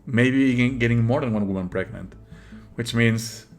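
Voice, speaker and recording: A man's voice: mid-range voice, moderate pace. A man giving casual, mocking let's-play commentary as a livestreamer. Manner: sarcastic, mocking. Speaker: livestreamer playing a game. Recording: faint game music behind. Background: game music.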